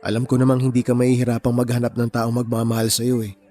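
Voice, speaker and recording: An actor playing a kind, principled young man in a Tagalog radio romance, speaking gently and earnestly. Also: mid-range voice, completely flat delivery, fast talker, some chatter behind, natural voice. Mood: gentle, earnest.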